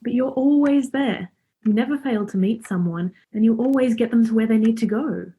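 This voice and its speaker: A woman's voice: a little high, normal speed, warm tone, slightly rough. A woman acting a chatty, cheeky, friendly character in a radio play, speaking informally and forthrightly. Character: Laura, chatty, cheeky, friendly young woman befriending Death.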